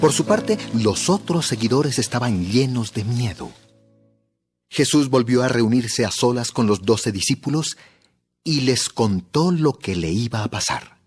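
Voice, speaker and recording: A man narrates a dramatized Bible story in a mid-pitched voice. His delivery is scripted storytelling, clearly phrased, with music plainly heard underneath. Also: animated, measured pace.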